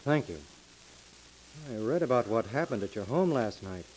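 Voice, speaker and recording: Man playing earnest detective for hire, respectful, businesslike, medium pitch, old radio acting, faint hiss. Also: lively intonation, moderate pace, natural voice. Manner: formal, respectful. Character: earnest, businesslike male hired investigator. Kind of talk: 1940s radio drama acting. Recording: hissy crackling 1940s radio recording.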